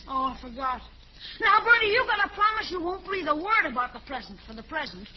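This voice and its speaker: Eager gee-whiz schoolboy, extremely high, animated pitch, 1940s radio comedy with faint hiss. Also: female voice, brisk. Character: eager, blurting schoolboy nephew. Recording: dull, hissy vintage radio recording.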